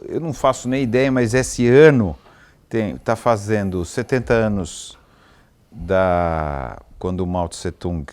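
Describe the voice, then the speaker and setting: A man with a Brazilian accent hosting a casual interview, haltingly rephrasing his points and seeking confirmation. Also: on the low side, darkish tone. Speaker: interview host.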